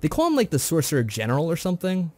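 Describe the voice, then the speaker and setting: A man's voice: fairly high pitch, huge pitch swings, very fast, a little mellow, no room sound. A man co-hosting a review show, recapping a story in a chatty, informal way. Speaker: co-host of a visual novel review show.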